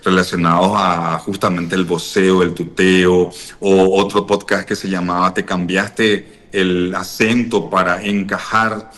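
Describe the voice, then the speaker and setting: A man from Paraguay, a podcaster guest speaking over a call, measured and thoughtful, repeating words as he searches. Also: low-pitched, level tone, a bit bright. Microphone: video or phone call.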